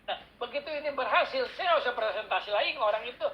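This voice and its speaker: A man giving a casual workshop talk as guest speaker, chatty and animated, telling anecdotes in a slangy way. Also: extremely high pitch, quick pace, muffled recording. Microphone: online call mic.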